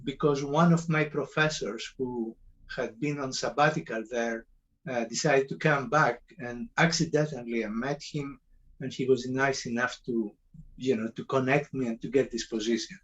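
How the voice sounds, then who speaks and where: Older male panelist, Greek accent, muffled lo-fi sound, halting informal storytelling, medium pitch. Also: steady tone, a bit bright. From Greece. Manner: hesitant, halting phrasing. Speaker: panelist, cancer center director. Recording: dull, lo-fi call audio.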